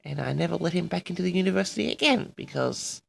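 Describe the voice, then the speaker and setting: Man, sixties, extremely creaky voice, audio log host, halting delivery, boastful, rambling reminiscence. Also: sits high, expressive. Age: 60s. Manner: boastful, halting, often addresses the audience. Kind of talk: rambling personal reminiscence to listeners.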